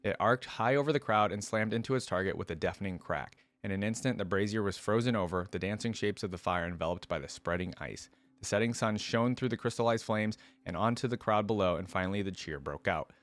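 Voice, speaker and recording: A man's voice: fairly low pitch, a little fast. A man hosting a reaction video, reading story lore aloud and breaking in with casual, conversational commentary.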